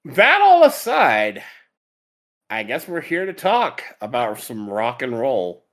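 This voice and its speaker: A man's voice: medium pitch, huge pitch swings, slow pace, fairly bright tone. A man hosting a podcast, chatting casually about anime in a rambling, enthusiastic way. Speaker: podcast host.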